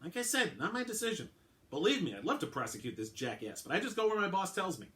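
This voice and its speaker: A man's voice: high voice, very animated, fast, sharp tone, pitched above the performer's own voice, exaggerated voice. A man voicing a brusque, dismissive and exasperated male official in a dramatic crime story reading. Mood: exasperated, emphatic. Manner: emphatic, exasperated. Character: brusque male prosecution liaison, dismissive and emphatic.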